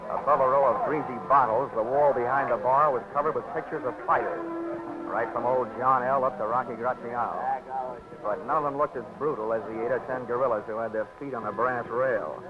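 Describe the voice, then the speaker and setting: An actor in hard-boiled 1940s radio style, playing a cocky, wisecracking insurance investigator who also narrates, with a breezy delivery. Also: male voice, medium pitch, steady tone, a little fast, close to an everyday voice. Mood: narrating. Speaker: narrator and lead. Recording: dull old radio broadcast with strong hiss.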